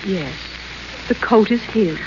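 An actress playing a plain-spoken, matter-of-fact maid, in a muffled 1930s radio broadcast. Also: female voice, medium pitch, animated, slow, a little hiss or hum. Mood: blunt. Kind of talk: 1930s radio play acting.

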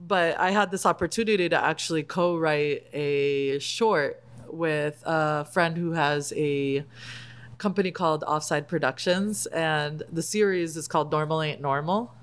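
A woman chef, Palestinian, talking casually on a podcast, animated and laughing often. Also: deep voice, a little slow, smooth. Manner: animated, laughs often, acts out quoted lines. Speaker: guest, chef and cookbook author.

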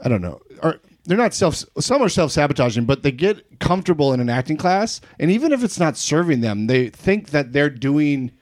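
A man's voice: on the high side, sing-song, brisk, few pauses, a little mellow, no room sound, clean studio sound. A man hosting a podcast interview, reflective and agreeable, often chiming in. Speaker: podcast host.

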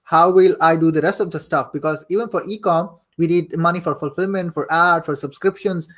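A man's voice: a little high, brisk, fairly bright tone. A young man telling his story casually as an interview guest, chatty and repeating phrases for emphasis. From Saudi Arabia, later Pakistan. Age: young adult. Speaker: podcast guest, affiliate marketer and coach.

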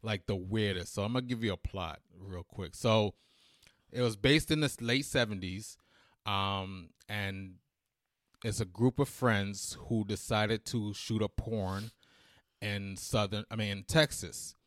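A man's voice: lowish voice, measured pace, no room sound, clean studio sound. Man losing his voice, casual co-host. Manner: relaxed, loose talk with fillers and slang. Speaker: podcast co-host. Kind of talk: casual chat.

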